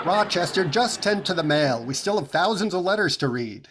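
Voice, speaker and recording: Man playing a fussy, high-voiced, exasperated comedian. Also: animated, a bit bright, a little hiss or hum. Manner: fussy, exasperated, drawn-out retorts. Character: vain, exasperated, put-upon male radio comedian boss. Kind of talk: 1940s radio comedy acting.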